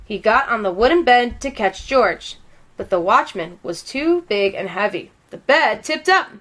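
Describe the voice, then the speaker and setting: A woman's voice: fairly high pitch, lively intonation, measured pace, a bit bright. A woman reading a children's story aloud expressively, drawing out key words for dramatic emphasis. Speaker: storybook reader.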